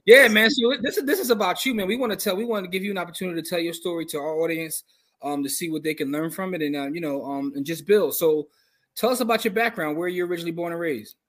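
A man's voice: fairly high pitch, fairly bright tone. A man hosting a podcast, talking in an upbeat, slangy, casual way as he interviews a guest. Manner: fast, upbeat, slangy banter. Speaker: podcast host.

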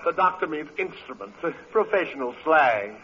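A man's voice: a little high, expressive, normal speed, fairly bright tone. A man acting in an old-time radio comedy as an anxious helper who prompts and covers for a phony doctor. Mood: covering up. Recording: muffled, noisy vintage radio broadcast.

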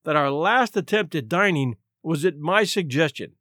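A man voicing a shrunken, shabby vagrant in an audiobook story, quiet and wry, with unhurried, rather formal wording. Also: fairly high pitch, lively intonation, no echo, clean studio sound. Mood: resigned. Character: shrunken shabby male vagrant, quiet, dry, sly companion.

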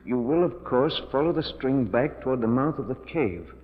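A man's voice: medium pitch, animated, normal speed, close to an everyday voice. Actor as a tense, desperate man in old radio drama. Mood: grim, reading aloud. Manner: emphatic, melodramatic. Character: tense guilty man trapped in a cave, desperate schemer. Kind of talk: 1940s radio acting. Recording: muffled 1940s radio recording, reverberant.

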